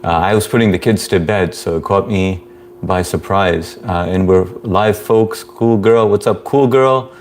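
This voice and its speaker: A man in his thirties hosting a casual live stream, greeting viewers and reading their comments aloud in a laid-back, monotone way with frequent chuckles. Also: low voice, a little slow, a little hiss or hum.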